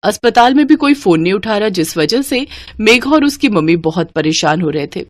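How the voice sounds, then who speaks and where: A woman's voice: mid-range voice, animated, normal speed. A woman narrator reading a scripted moral story.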